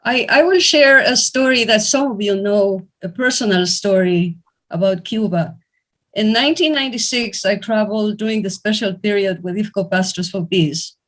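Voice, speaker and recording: This is a woman from Ecuador hosting an online fundraiser and sharing a personal story. She speaks earnestly, with a middle pitch and a few restarts, into a video call. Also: normal speed, fairly bright tone, rough recording. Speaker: event host.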